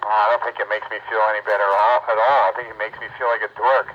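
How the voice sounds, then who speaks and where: A man's voice: lowish voice, level tone, fast talker. An American man talking casually over a CB radio, contrary and self-deprecating in manner. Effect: two-way radio transmission. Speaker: CB radio operator. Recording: dull CB handset, faint static. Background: faint radio static.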